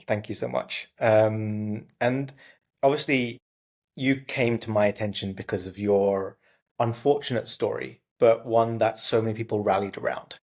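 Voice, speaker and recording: A man in his thirties hosting an interview podcast, polite and measured, with "um" fillers. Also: fairly low pitch, steady tone, darkish tone. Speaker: podcast host.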